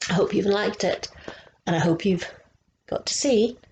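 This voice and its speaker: A woman reading a picture book aloud to children, slow and expressive, stopping to ask them questions. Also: medium pitch, creaky, lo-fi sound, slight background noise. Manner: slow and expressive, asking the young listeners questions.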